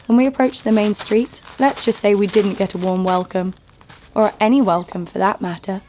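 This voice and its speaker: A woman's voice: mid-range voice, steady tone, moderate pace, darkish tone, a little hiss or hum, close to an everyday voice, rough recording. A woman playing a tough, sardonic fighter who narrates her own story with dry humour in an audio drama. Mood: wry. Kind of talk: scripted first-person audio drama narration.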